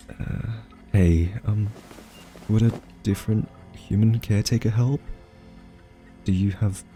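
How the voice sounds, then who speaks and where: A man performs a male scientist with a deep, warm, softened voice over faint music. He is earnest and socially awkward, feeling his way through sentences with nervous hesitations. The delivery is gentle, soft-spoken ASMR roleplay. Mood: pleading, discouraged. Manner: hesitant, halting, full of nervous ums.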